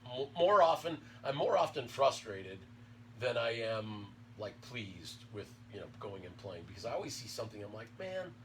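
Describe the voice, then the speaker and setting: A man's voice: medium pitch, very animated, fairly bright tone. Fifties male podcast host, distant mic. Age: 50s. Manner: chatty, quick to laugh. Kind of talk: casual interview chat. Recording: distant mic with faint room noise.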